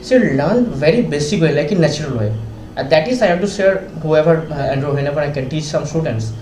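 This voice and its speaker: A man with an Indian English accent, a teacher hosting the talk, speaking in halting phrases that often restart. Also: fairly high pitch, animated, a little mellow, echoey room. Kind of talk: casual teaching chat.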